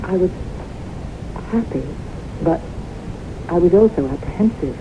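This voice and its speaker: A woman's voice: on the low side, animated, measured pace. An actress playing a haunted, fearful, romantic woman of forty-one in an earnest, dramatic radio play style. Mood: apprehensive. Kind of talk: 1970s radio drama acting. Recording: muffled, noisy old radio broadcast.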